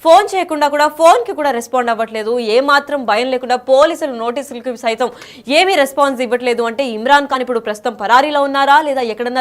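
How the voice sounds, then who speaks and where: A woman news anchor presenting live breaking news in a running, repetitive commentary, mixing in English words. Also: sits high.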